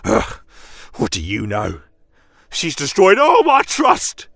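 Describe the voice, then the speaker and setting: A man's voice: on the high side, wildly swooping pitch, creaky, a bit bright, no echo, clean studio sound, voice pushed up, heavily acted. A man playing a bitter, enraged village barkeep who snarls with zealous fury in a fantasy audio story. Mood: bitter rage. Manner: snarling, foul-mouthed, drawn-out furious delivery. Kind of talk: fantasy audio drama acting.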